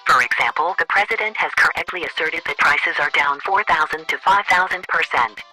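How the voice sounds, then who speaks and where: A man's voice: a little high, a little fast, pushed and bright, faint music behind. A man voicing an officious government chief of staff in a formal, scripted comic voicemail.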